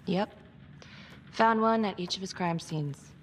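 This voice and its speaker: A woman's voice: medium pitch, takes it slowly, a little hiss or hum, close to an everyday voice. A woman acting a weary, guarded and tough homicide detective in a crime game, speaking bluntly in a naturalistic style. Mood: grim, matter-of-fact. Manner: blunt, swearing, world-weary. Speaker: video game actor. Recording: music under the dialogue. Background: background music.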